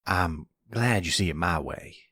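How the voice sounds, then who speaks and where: A man playing a protective, calm older mafia grandfather in an ASMR roleplay, speaking gently in a folksy way. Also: low voice, measured pace, slightly rough, no echo, studio quality, exaggerated voice. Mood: concerned, steady. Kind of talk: ASMR boyfriend roleplay acting.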